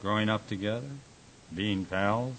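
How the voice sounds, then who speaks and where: Man acting a low, husky wisecracking husband. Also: steady tone, unhurried, a bit bright, low-quality recording. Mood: tender, earnest. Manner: wisecracking, playful, plain-spoken. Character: teasing, devoted husband and Navy veteran. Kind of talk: radio drama acting.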